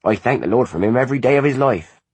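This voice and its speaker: A sorrowful peasant mother, as a narrator puts her on, lifted high above his low speaking voice. She pleads softly, worn down by grief, with a husky edge. The storybook reading is dry and close. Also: lively intonation, quick pace, no echo. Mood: anxious proud. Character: weary grieving peasant mother, humble.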